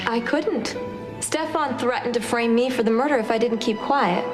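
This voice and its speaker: An actress playing a poised, accusing young heiress on the witness stand in a soap drama. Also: female voice, a little high, moderate pace, music in the background, close to an everyday voice. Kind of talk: television soap drama acting.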